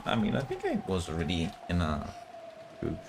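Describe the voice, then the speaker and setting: A young man with a thick Italian accent, a non-native English speaker, chatting casually while streaming a game. He talks haltingly, stopping and restarting as he searches for words. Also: low voice, wildly swooping pitch, noisy background.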